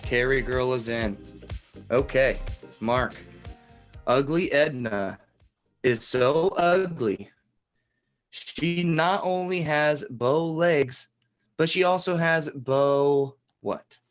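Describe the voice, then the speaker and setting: A man's voice: mid-range voice, slow, pauses often, soft background music. A man hosting an improvised comedy game show, reading out questions and scores to the contestants.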